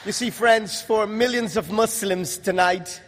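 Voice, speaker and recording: Young man, from Guyana, high-pitched, measured emphatic speech, long hall reverb. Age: young adult. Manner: measured, emphatic delivery with pauses. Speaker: speaker giving a testimony. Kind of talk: religious testimony speech to an audience.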